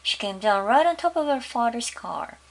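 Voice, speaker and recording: A woman storyteller reading a children's story aloud, pausing to ask her young listeners questions. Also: on the high side, expressive.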